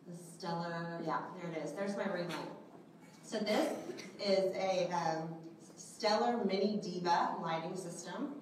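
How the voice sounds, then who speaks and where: A woman with a soft voice leads a live group workshop, chatty and often checking in with the audience with "okay?" and "right?". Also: lowish voice, a little slow, slight background noise. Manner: chatty, asks the group questions, many okays and rights. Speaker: trainer teaching a selfie workshop. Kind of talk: live instructional talk to a group. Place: indoor room with a large window, small audience present. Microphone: handheld microphone. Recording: echoey room, voice from far off.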